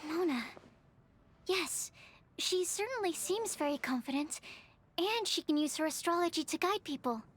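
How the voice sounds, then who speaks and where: A woman's voice: extremely high pitch, lively intonation, normal speed. A woman playing a polite, earnest, bookish young woman researcher in an anime video game, speaking carefully. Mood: thoughtful. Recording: background music.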